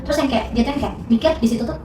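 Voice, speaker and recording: A woman's voice: fairly high pitch, very fast, roomy sound, distant mic, noisy background. Chatty young woman telling a story. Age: young adult. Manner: chatty, many fillers and restarts. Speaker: student podcast storyteller. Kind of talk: casual storytelling chat.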